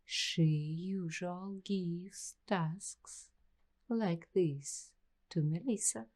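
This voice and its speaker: A woman playing a nervous, curious young waitress in an ASMR roleplay, speaking softly and breathily in short, halting phrases. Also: on the low side. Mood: puzzled. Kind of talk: ASMR roleplay, slow scripted monologue.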